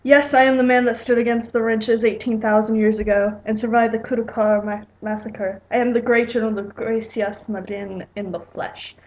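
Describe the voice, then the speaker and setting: A woman's voice: fairly high pitch, level tone, normal speed, few pauses, lo-fi sound, close to an everyday voice. A woman voicing a genial, grand old male warrior general in a storybook reading, with an amateur British accent. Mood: proud, formal. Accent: amateur put-on British.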